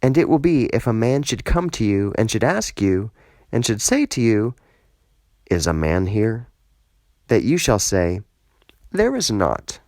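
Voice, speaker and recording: A man's voice: lowish voice, sing-song, unhurried, long pauses, darkish tone, dry recording, clean studio sound. A man voicing a weary fleeing army commander who pleads emphatically, in a scripture audiobook. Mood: urgent.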